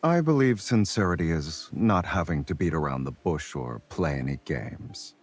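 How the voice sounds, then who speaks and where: Very deep, husky teasing charmer. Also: male voice, lively intonation, exaggerated voice. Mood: amused, sincere. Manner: unhurried, playful teasing. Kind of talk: romance game voice acting. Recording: music behind the voice.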